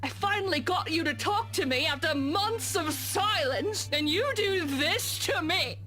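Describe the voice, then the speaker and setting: A woman voicing a drunk, furious pregnant woman who shouts and curses. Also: extremely high pitch, expressive, measured pace, soft background music, heavily acted. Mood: drunk rage. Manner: shouting, cursing, slurred. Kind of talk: game voice acting.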